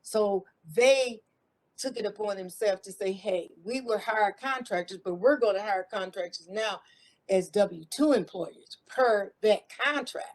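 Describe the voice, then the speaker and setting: A woman's voice: mid-range voice, measured pace, poor audio. A middle-aged American woman talking in a casual interview, rambling and restarting with repeated words. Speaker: guest, former IT contractor.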